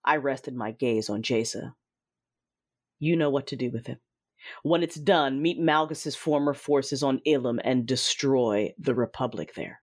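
An actor playing a cruel, vengeful Sith lord, narrating an audio drama in a deliberate, commanding, menacing way with a husky voice. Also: mid-range voice. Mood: cold commanding. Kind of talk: first-person audio drama narration with dialogue. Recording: dramatic music behind the voice. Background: music.

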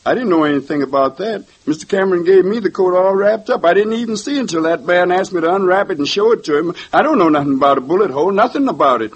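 A man's voice: sits high, expressive, quick pace, hardly any pauses, breathy edge, highs cut off. An actor playing a nervous young male suspect in his twenties in a 1950s radio drama, earnest and defensive. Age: 20s.

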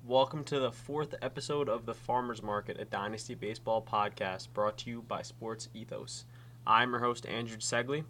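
A man's voice: medium pitch, steady tone, moderate pace, fairly bright tone, a little hiss or hum. Stuffy-voiced man hosting a podcast. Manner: casual, with frequent restarts and filler. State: stuffy from a cold. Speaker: podcast host. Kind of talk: solo fantasy baseball podcast.

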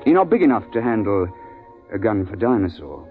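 An actor playing a seasoned, regretful but firm hunting guide in a 1950s radio drama. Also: male voice, on the low side, sing-song, brisk. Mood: firm, refusing. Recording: dull vintage 1950s radio recording with music.

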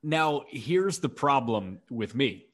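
A man's voice: mid-range voice, lively intonation, takes it slowly. Congested male podcast co-host from Chicago. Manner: laid-back, chatty, says "like" often. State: congested with a cough. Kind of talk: casual sports podcast chat.

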